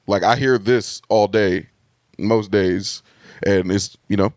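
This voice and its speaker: A man's voice: steady tone, takes it slowly, no room sound, studio quality. A man co-hosting a podcast, chatting casually and laid-back about everyday topics. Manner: laid-back, says others call his voice deep, hears it as mid. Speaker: podcast co-host. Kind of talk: casual chat.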